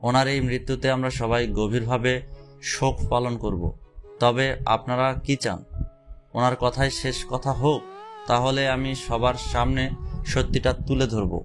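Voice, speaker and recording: Man playing a steady middle-aged politician, firm and measured, plain mid voice, audio drama. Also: mid-range voice, soft background music, close to an everyday voice. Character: calm loyal middle-aged male politician, the uncle.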